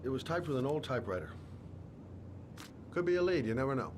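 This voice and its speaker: An actor playing a weary, gruff private detective in a video game, speaking in terse, measured lines. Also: male voice, medium pitch, expressive, background noise, lightly acted. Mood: brisk, dismissive. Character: weary, gruff male private detective, guarded but kindly. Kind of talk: cinematic video game dialogue. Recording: loud background music.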